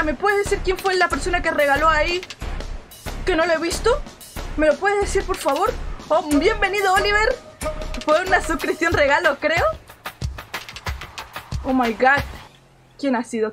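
Young girl streamer gaming live, really high voice, spanish accent w mexican twang, animated n repeats herself, music behind. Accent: Spanish with Mexican inflections. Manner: animated, repeats phrases rapidly, complaining slangily. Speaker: game streamer. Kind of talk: casual live gameplay commentary.

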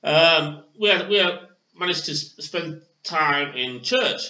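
Bright-voiced young man reviewing beer. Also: on the high side, animated, slow. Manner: hesitant, many fillers and restarts. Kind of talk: casual beer tasting review. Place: small bedroom with posters, indoors. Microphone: webcam on desk. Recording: roomy, dull lo-fi webcam audio.

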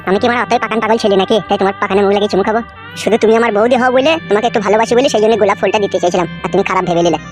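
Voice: medium pitch, racing, soft background music, close to an everyday voice. A performer voicing a crude, wheedling, lovestruck younger brother-in-law in a comic cartoon. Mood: defensive, wounded.

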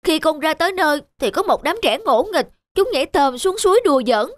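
A woman's voice: high voice, animated, fairly quick, dry recording. A woman voicing an earnest, respectful young boy disciple in a cartoon, polite and eager.

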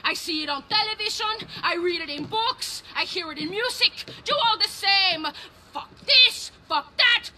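A woman's voice: very high-pitched, animated, unhurried, few pauses, background noise. An actress playing a domineering, jealous, hot-tempered young female robber in a video game, profane and theatrical. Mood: furious, bitter. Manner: profane, theatrical, fiercely threatening. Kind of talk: video game cutscene acting.

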